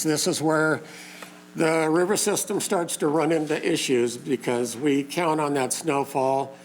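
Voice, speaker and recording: A hoarse man who is losing his voice speaks at a community meeting, pausing often with fillers in plain, folksy wording. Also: fairly high pitch, slight background noise, poor audio. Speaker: community meeting organizer and lake info page host. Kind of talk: informal public talk to a local meeting.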